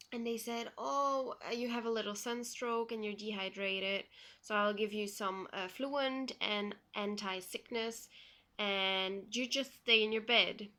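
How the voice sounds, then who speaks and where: A young Belgian woman speaks English as a second language, telling her own story slowly and without hurry. Her voice is bright and clear, and she fills the gaps with soft ums as she goes. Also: mid-range voice. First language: not English. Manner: unhurried, plain retelling with frequent ums. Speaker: vlogger. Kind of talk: personal story vlog.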